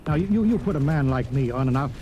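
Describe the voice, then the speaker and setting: An American actor playing an eager, pleading veteran radio man with a folksy, halting manner in a vintage radio drama. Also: mid-range voice, animated, highs cut off, close to an everyday voice. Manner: folksy, halting, repeats words. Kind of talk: old-time radio drama acting. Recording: vintage radio recording, noisy with gunfire.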